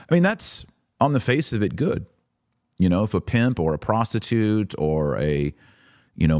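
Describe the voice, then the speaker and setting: A man's voice: low voice, darkish tone, dry recording, clean studio sound. A man hosting a podcast of religious commentary, reading aloud and reacting in an emphatic, informal, argumentative way.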